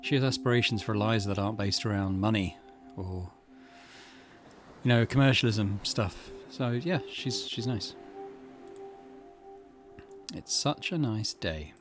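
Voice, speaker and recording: A man's voice: fairly low pitch, measured pace, pauses often, over music. Man doing musing let's play commentary. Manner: casual, musing, hesitant. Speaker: gaming channel host. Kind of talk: let's play commentary, reading game text aloud.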